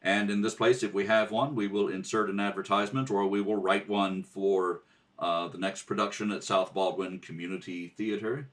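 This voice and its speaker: A man's voice: on the low side, fairly even intonation, normal speed, fairly bright tone, distant mic. A man giving theatrical, dramatic narration in the style of an old-time radio adventure serial. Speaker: narrator, rehearsal reader. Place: kitchen table at home. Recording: home recording, slightly off the mic.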